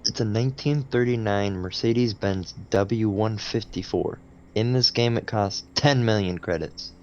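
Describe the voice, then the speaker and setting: A young man co-hosting a podcast in casual chat, laid-back and quick to laugh. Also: fairly low pitch, a little mellow, dull audio, a little hiss or hum. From Wales. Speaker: podcast co-host.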